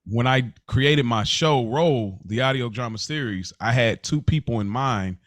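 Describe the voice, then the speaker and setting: A man with a mid-pitched voice chats as a podcast co-host. He is informal and slangy, quick to show appreciation, and recorded close and dry. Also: fairly bright tone, dry recording. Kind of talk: casual chat.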